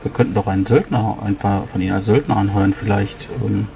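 Man playing a fast, gravelly, plain-spoken adventurer. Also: fairly low pitch, fairly even intonation, dark tone. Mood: casual, unsure. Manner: casual, questioning. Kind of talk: in-character tabletop roleplay. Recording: music behind.

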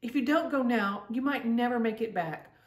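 A woman's voice: a little high, moderate pace, lightly acted. A woman voicing an urgent, insistent female fairy in a children's storybook. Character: urgent female fairy warning young girls. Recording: roomy, distant-mic home recording.

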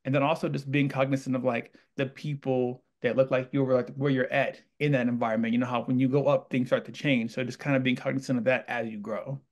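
A Texan man hosts an interview at a quick clip, gushing and piling phrase on phrase. His voice sits at an ordinary middle pitch and comes close on a studio boom mic in a small room. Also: little pitch movement. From Texas. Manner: enthusiastic, effusive and rambling. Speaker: host. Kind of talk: interview hosting. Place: indoor studio room with wall art. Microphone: studio mic on boom arm.

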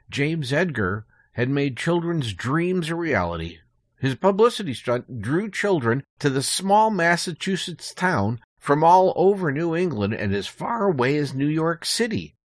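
American male guest, informative storyteller, animated intonation, dry studio-like sound. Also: mid-range voice, no room sound. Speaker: podcast guest. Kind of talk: prepared informative storytelling, conversational.

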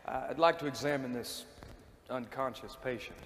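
A man's voice: mid-range voice, normal speed, close to an everyday voice. A man playing a polite, formal doctor in a stage play. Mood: courteous. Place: theatre stage. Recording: echoey theatre with audience noise.

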